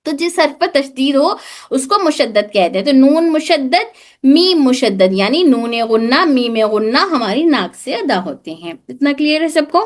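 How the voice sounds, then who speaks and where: A woman with a high-pitched voice teaches a live religious recitation lesson. She explains step by step, asking questions and repeating the answers to drill them in. English words are mixed into her explanations. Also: lively intonation, moderate pace. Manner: repetitive, drilling key points with questions; mixes English words into Hindi speech.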